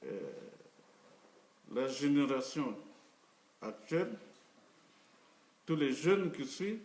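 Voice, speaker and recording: An older man, a guest of the association, speaking in a slow, halting way. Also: on the high side, expressive, a little mellow. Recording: echoey room, distant.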